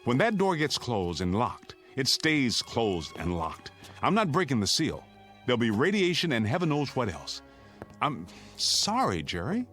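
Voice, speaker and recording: An actor playing a stern, resolute suburban father in a radio drama, speaking gravely and firmly. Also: mid-range voice, wildly swooping pitch, measured pace, fairly bright tone, faint music behind. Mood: stern, defensive.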